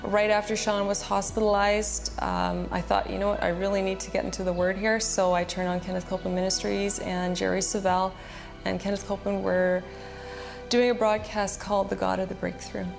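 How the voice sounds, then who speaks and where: A woman's voice: mid-range voice, flat delivery, a little fast, gravelly. A woman giving a personal testimony, recounting events in an earnest, plain-spoken way. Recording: music bed under the voice. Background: background music.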